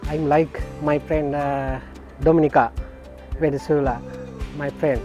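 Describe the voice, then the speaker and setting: A middle-aged man with a Sri Lankan accent, a stable worker, introducing himself in halting, broken English outdoors. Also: a little high, fairly even intonation, dark tone. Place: outdoors at stables, music behind. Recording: music over outdoor interview.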